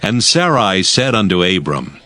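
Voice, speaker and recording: A man narrating scripture from a script in a solemn, measured manner. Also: low voice, big pitch swings, bright, forceful tone.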